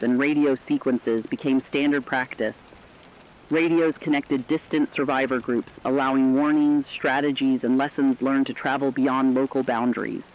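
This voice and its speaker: A man giving dramatic scripted science-fiction narration with a serious, deliberate delivery. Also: medium pitch, dull audio.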